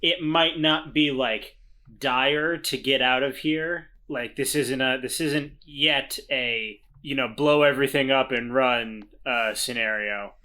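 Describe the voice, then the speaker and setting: A man's voice: medium pitch, slow, pushed and bright. A man chatting casually as a tabletop game player, thinking aloud and describing his character hesitantly. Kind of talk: casual improvised game chat.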